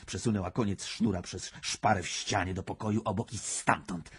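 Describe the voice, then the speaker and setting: An actor playing a troubled, unstable young man under questioning in a radio drama, halting and agitated, with a husky voice. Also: sits low, fairly even intonation, fairly bright tone, full character voice. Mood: haunted, urgent. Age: young adult.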